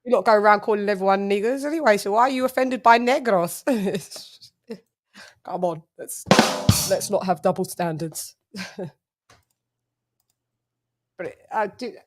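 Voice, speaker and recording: A woman's voice: mid-range voice, unhurried, pauses often, slight background noise. A woman with an English accent hosting a casual live chat, laughing often and switching between English and Spanish. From England. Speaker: livestream host.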